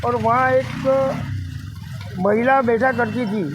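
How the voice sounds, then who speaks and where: An older man speaks in a very high voice, calm and reflective with formal wording. Clear outdoor noise and passing traffic sit behind him. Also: takes it slowly. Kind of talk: recollection in interview.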